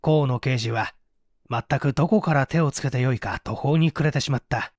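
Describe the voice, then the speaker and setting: A man narrating a detective story as an audiobook, with theatrical, deliberate phrasing. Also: mid-range voice, a little mellow, dry recording, studio quality. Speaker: audiobook narrator, stage actor.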